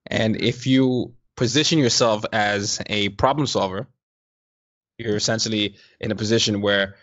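A man's voice: on the low side, steady tone, unhurried, a bit bright, lo-fi sound. A man hosting a podcast interview who stammers into his questions and speaks encouragingly to listeners. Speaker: podcast host. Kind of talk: interview conversation.

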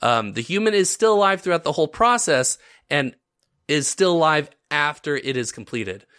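A man with a bright, forward, slightly high voice hosts a casual podcast. He explains his subject in halting stretches and breaks into wry asides. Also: lively intonation, smooth. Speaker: podcast host leading the episode.

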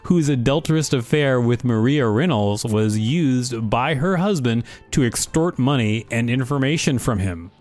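A man's voice: mid-range voice, animated, a little slow, few pauses, a little mellow, faint music behind. A man co-hosting a podcast, reading an article aloud and chatting casually. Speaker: podcast co-host.

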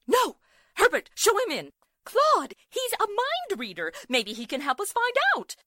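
A woman's voice: extremely high pitch, wildly swooping pitch, unhurried, bright, forceful tone, no echo, clean studio sound, exaggerated voice. A woman playing a fretful, wealthy middle-aged mother in 1940s radio drama style, theatrical and exclamatory. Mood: pleading, eager. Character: excitable, fretful wealthy society matron and mother.

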